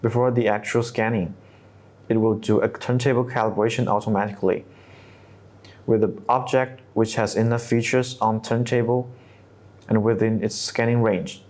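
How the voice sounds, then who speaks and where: Man presenting a scripted software tutorial. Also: lowish voice, steady tone, normal speed. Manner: non-native English phrasing, step-by-step instructional delivery.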